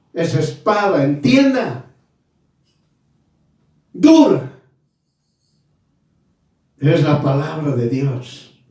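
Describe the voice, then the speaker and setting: A man's voice: on the high side, darkish tone. A man preaching a fervent evangelical sermon, stern and admonishing, in short, emphatic phrases with repeated exhortations. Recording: very echoey room, distant from mic.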